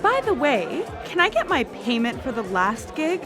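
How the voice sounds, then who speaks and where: A woman's voice: sits high, big pitch swings, moderate pace. A woman playing a sly, seductive corrupter of souls in a radio play, teasing and sardonic, with music and people behind her. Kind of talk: live radio play acting. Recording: music and crowd sounds behind.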